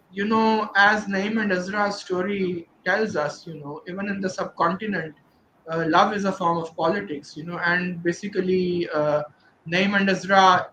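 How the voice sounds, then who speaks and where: A man's voice: high-pitched, pushed and bright, roomy sound, slight background noise, rough recording. A man discussing his translation of a novel, rambling with constant "you know" fillers. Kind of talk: virtual panel discussion.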